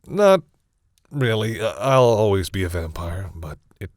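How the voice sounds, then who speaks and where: A man playing a centuries-old vampire in an intimate audio roleplay, speaking formally but haltingly in a husky, breathy voice. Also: fairly low pitch, very animated, dry recording, studio quality. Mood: earnest, explaining. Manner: hesitant, halting, formal phrasing. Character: centuries-old male vampire, courteous, hesitant, commanding with servants.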